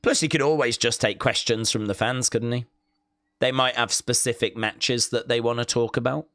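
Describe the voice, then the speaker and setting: A man's voice: mid-range voice, fairly even intonation, moderate pace, a bit bright, no echo, studio quality. A man casually commenting on a wrestling show while streaming live and reading out chat messages. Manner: casual, chatty, many "uh" fillers. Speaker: wrestling watch-along streamer.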